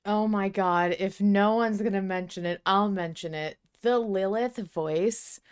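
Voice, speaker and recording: A woman's voice: mid-range voice, a little slow, clean tone. A woman co-hosting a podcast, chatting casually as a fan in an emphatic, gushing, dramatic way. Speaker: podcast co-host.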